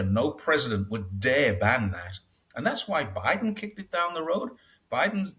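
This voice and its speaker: Casting note: man in casual interview, lively swooping pitch, wry, acts out quotes. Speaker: interview guest. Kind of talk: casual conversational commentary.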